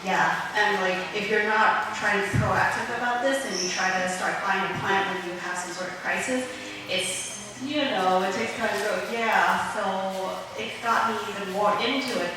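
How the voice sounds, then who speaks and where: A woman's voice: mid-range voice, fairly even intonation, normal speed, few pauses, noisy background. A young woman from Southern California speaking on a garden expo panel, chatty and upbeat. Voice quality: bubbly, chatty, laughing easily. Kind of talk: casual panel talk. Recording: echoey hall from far off.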